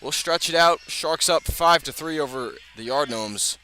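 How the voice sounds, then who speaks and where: A man's voice: a little high, a little slow, bright, forceful tone, poor audio. A man doing live radio play-by-play of a baseball game as the announcer. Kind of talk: live radio sports commentary. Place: outdoor ballpark broadcast position.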